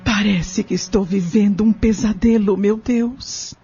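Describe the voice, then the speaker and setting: A woman's voice: mid-range voice, fairly even intonation, unhurried, mellow, husky. A woman playing a long-suffering middle-aged wife resolved to break free, speaking formally and solemnly in an audio novel. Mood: stunned. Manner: solemn, formal, literary phrasing. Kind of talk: dramatic audio novel acting. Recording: dull lo-fi audio with music behind. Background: music.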